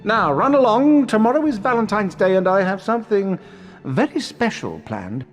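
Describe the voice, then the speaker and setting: A man's voice: high-pitched, sing-song, normal speed, soft background music, exaggerated voice. A man playing a vain, flamboyant wizard teacher in a video game, pompous and self-admiring. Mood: boastful.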